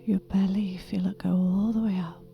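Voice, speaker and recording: A woman with a soft, breathy, whispery voice gently and soothingly guiding a meditation in a deliberate manner. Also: medium pitch, level tone, highs cut off, soft background music. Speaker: meditation guide. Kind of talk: guided meditation, soft half-whispered narration.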